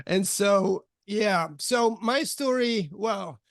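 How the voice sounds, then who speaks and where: A man in his fifties who grew up in Switzerland, telling his life story as a podcast guest, halting with many fillers and restarts. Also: high-pitched, no room sound, clean studio sound. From Switzerland, of Italian parents. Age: 50s. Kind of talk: candid life-story interview.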